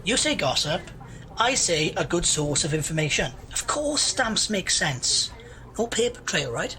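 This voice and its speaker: A woman's voice: on the low side, very animated, measured pace, pushed and bright, heavily acted. A woman acting in an audio drama as a bored, opinionated, argumentative young procrastinator who loves gossip. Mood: gossipy insistence. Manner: argumentative, grumbling.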